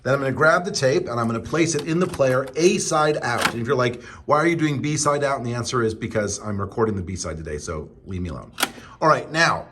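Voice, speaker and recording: A man with a medium-pitched voice leads a how-to lesson in a casual, conversational way. He is chatty and playful, talking directly to the viewer as an instructor who likes to pose their questions for them. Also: lively intonation, fast talker, background noise. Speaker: instructor presenting a how-to video.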